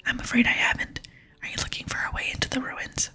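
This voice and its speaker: A woman's voice: fast, bright, forceful tone, faint music behind, natural voice. Woman whispering as an eager, polite adventurer. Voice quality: breathy whisper. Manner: polite, earnest. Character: eager, polite young adventurer, the game's player hero. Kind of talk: whispered ASMR reading of game dialogue.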